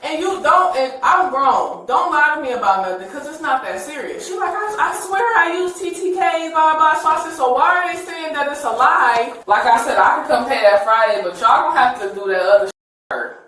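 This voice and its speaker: A woman's voice: sits high, expressive, fairly quick, a bit bright. An American woman arguing informally and defensively, in a colloquial, protesting way. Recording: echoey, distant mic, faint noise.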